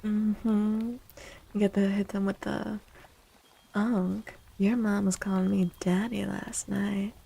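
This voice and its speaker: A woman speaking softly and breathily, often near a whisper, in an unhurried, gentle ASMR livestream chat. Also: medium pitch, little pitch movement, gravelly, breathy edge. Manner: gentle, slow, unhurried, addressing chatters. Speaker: livestream host and tarot reader.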